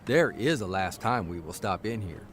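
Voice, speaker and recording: An actor playing a fussy, grumbling newspaper columnist of the 1930s who rambles in exasperation, in period audio drama style. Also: male voice, low-pitched, expressive, normal speed, a bit bright, slight background noise. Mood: firm threat.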